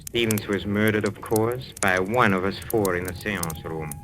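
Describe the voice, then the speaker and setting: A man acts a suave French detective who poses as a medium. His voice sits low, with a French accent and a courteous, knowingly superior manner. It is old radio drama with light recording noise. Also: moderate pace, close to an everyday voice. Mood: assured. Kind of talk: 1940s radio drama acting.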